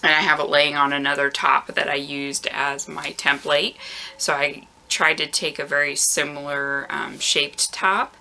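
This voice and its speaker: A woman in her thirties hosting a knitting video podcast in a casual, chatty way with lots of ums. She has a very low voice that sounds tired and groggy, recorded on a camera mic in a warm room at home. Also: unhurried, vocal fry. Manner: relaxed and chatty, frequent ums. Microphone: camera microphone.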